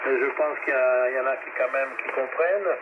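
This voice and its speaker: A man's voice: medium pitch, a little fast. A man running an amateur radio net, talking hesitantly with many filler sounds and spelling out call signs. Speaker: net control operator of a ham radio net. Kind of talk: ham radio net chat, switching languages. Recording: tinny shortwave radio with static.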